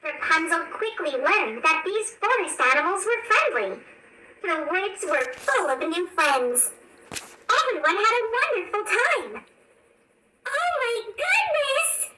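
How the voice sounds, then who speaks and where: A woman reading a children's storybook aloud in an expressive, animated storytelling manner. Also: extremely high pitch, measured pace. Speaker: storybook narrator. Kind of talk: scripted children's read-along narration. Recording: roomy, distant, faint background noise.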